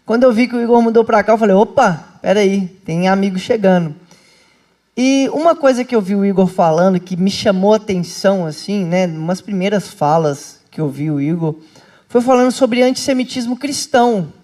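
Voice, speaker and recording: A man, a pastor hosting a live talk for a small church group, speaking in a lively, chatty way and calling his listeners brothers and sisters. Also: high voice, moderate pace. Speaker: pastor and host. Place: indoor home church group meeting.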